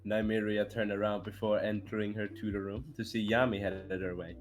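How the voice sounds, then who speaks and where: A man's voice: lowish voice, flat delivery, a little fast, faint music behind. A man giving an amateur dramatic narration of a story, now and then stumbling over the words. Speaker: reader of fan fiction on a livestream.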